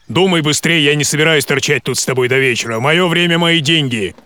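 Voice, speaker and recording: An actor playing a curt, miserly farmer, a hard and dismissive employer, in a radio play. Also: male voice, a little high, brisk, bright, forceful tone, a little hiss or hum. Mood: impatient, stern.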